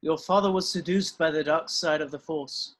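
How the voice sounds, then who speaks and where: A man reads the ghost of an aged teacher, calm and full of regret. The voice sits a little above average for a man, reciting film dialogue in a read-through. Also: a little high, normal speed. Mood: calm, firm. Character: ghostly old male mentor, calm and regretful. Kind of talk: online amateur script table read. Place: home room, on a video call.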